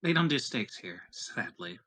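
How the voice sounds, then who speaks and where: A man voicing a fussy male ship's doctor who makes quick, chiding remarks. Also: lowish voice, very animated, pushed and bright, exaggerated voice.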